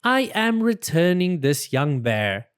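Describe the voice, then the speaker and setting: A courteous policeman from a children's storybook, read by the narrator, formal and patient. He speaks slowly, with lively rises in pitch. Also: clean tone, dry recording, studio quality. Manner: formal, polite.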